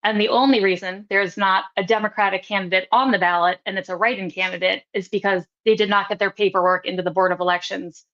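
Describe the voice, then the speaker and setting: A woman hosting a local politics podcast, interviewing a candidate in a measured way, hedging with fillers. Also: mid-range voice, steady tone, a bit bright, lo-fi sound. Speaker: podcast host.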